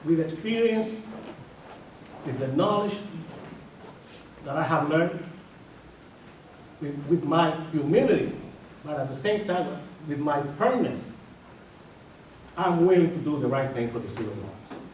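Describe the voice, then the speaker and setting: A man's voice: fairly high pitch, expressive, unhurried, pauses often, a little mellow, slight background noise. A man from the Dominican Republic with a Dominican Spanish accent, a native Spanish speaker giving an emphatic campaign speech at a candidates forum, repeating his phrases and building to firm declarations. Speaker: mayoral candidate. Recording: distant, echoey, dull radio recording.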